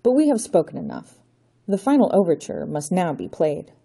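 A woman's voice: lowish voice, huge pitch swings, moderate pace, gravelly, mellow, husky, exaggerated voice. A woman voicing a scheming aristocratic male villain count with a theatrical, menacing manner, in a raised voice.

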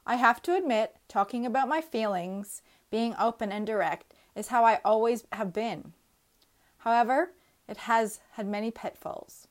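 A woman in her thirties reading her own personal essay aloud in an earnest, reflective, measured way. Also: fairly high pitch. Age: 30s.

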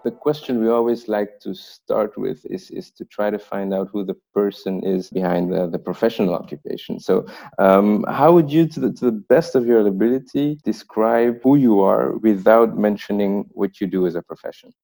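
A man's voice: fairly low pitch, moderate pace, creaky, no room sound. A male podcast host introducing a guest and asking earnest, encouraging questions. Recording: dry, mellow, slightly distant mic.

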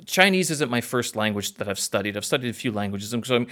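Male podcast host, mid-pitched, relaxed and hesitant delivery. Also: fast talker. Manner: hesitant, many uh fillers, relaxed. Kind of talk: casual conversation.

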